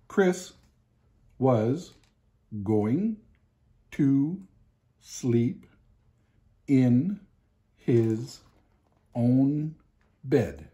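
A man, reading a children's picture book aloud expressively, with deliberate, emphatic pauses. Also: mid-range voice, dark tone. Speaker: storybook reader. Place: home living room, indoors.

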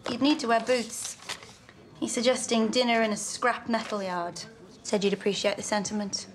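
Casting: actress for poised young Englishwoman of a crime family, period drama, higher voice, dry authority. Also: steady tone, lightly acted. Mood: measured, dry. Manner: cool, measured, dryly assertive. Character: poised, commanding young woman of a gangster family. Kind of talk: period television drama dialogue.

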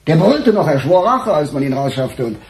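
An actor playing a weary, middle-aged police chief in a radio crime drama, musing in a husky, sardonic, halting way. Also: male voice, on the high side, sing-song, exaggerated voice. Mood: brooding, agitated. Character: weary, embattled male police chief, brooding and gruff. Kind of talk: naturalistic German radio crime drama acting.

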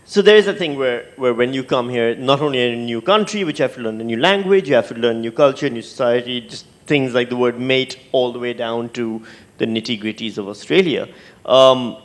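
A man moderating a panel on stage, conversational and halting, repeating words as he thinks aloud into a handheld microphone. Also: medium pitch. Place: stage in an auditorium with audience.

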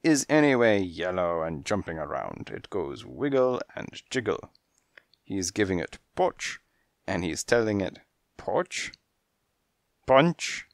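A man's voice: low voice, big pitch swings, vocal fry, dry recording, clean studio sound, heavily acted. A man voicing a theatrical, touchy female cat fortune-teller who speaks broken English with grand drama. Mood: explaining. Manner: broken English, dramatic, quick to take offence. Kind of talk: storybook character voice.

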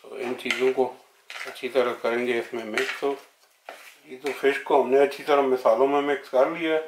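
A man's voice: mid-range voice, flat delivery, moderate pace. A man hosting a home cooking video, narrating a recipe step by step in a plain way. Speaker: home cooking channel host. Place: home kitchen, indoors. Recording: roomy kitchen echo, faint noise, sizzling.

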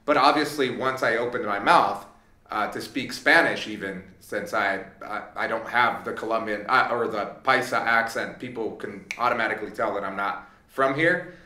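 A man's voice: fairly low pitch, moderate pace, echoey room. A man in his thirties from Southern California, chatting casually on a podcast with many fillers. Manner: relaxed storytelling with frequent fillers. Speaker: podcast co-host. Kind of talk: casual chat. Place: small studio room. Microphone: studio mic on boom arm.

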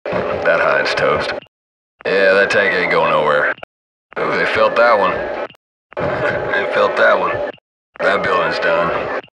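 Deep-voiced male gunship crewman for shooter game: casual, cocky, slangy radio chatter, very loud cabin noise. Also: slow, pauses often, far from the mic. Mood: gleeful, cocky. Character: laid-back, cocky adult male military gunship crewman.

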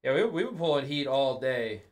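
Young male host for a live card break, relaxed banter, calls out each card. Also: medium pitch, normal speed. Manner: casual, calls out cards, excited on big hits. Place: studio with card boxes.

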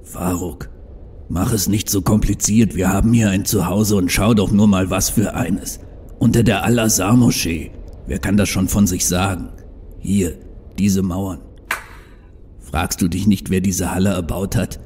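A man's voice: deep voice, steady tone, a little slow, darkish tone, a little hiss or hum. A man playing a proud, embittered and stubborn Egyptian troll in a fan audio drama, speaking in earnest, emphatic speeches. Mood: urging, visionary.

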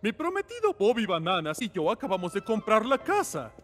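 A man's voice: sits high, wildly swooping pitch, brisk, a bit bright, faint music behind, full character voice. A man voicing a cheerful, friendly new homeowner in a children's cartoon.

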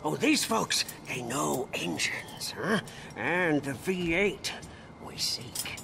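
An odd, excitable sidekick from a post-apocalyptic game. The voice is creaky and highish, lurching up and down in a halting, eccentric way, over noisy ambience. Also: male voice, full character voice. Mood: eager. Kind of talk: video game character acting.

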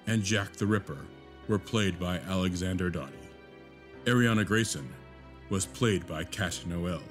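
Low-voiced male podcast announcer. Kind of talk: scripted podcast outro and credits. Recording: music under the voice.

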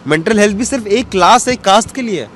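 A man's voice: high voice, lively intonation, quick pace, fairly bright tone. A man, giving an earnest, reflective interview answer mixed with English words. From western Uttar Pradesh, India. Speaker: interview guest, cartoonist. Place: outdoor bench in a public square. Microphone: handheld interview mic held toward him. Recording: outdoor street ambience with background noise.

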